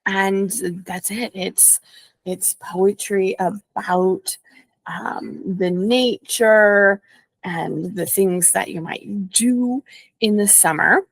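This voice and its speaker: A woman's voice: medium pitch, extremely slow, gravelly, no room sound. Casual woman teacher, very dry close sound. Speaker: preschool nature teacher presenting picture books. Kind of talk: casual book recommendation talk.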